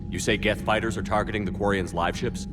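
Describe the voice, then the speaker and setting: Deep, steady military commander acted by a man. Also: very noisy, close to an everyday voice. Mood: firm. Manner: firm, measured, plain-spoken. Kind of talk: video game dialogue.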